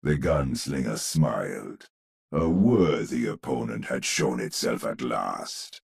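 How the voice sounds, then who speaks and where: An actor playing a grim narrator of gothic horror tales in a video game, with a gravelly, husky voice and grandiose, suspenseful delivery. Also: male voice, a bass voice, animated, slow, exaggerated voice. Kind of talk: theatrical gothic horror storytelling.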